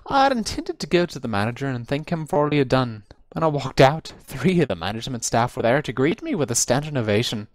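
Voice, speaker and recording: A man's voice: mid-range voice, big pitch swings, quick pace, few pauses, dry recording. A man reading a humorous first-person story aloud with theatrical, emphatic delivery, drawing out stressed phrases, recorded close up over online voice chat. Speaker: amateur reader of a comic story.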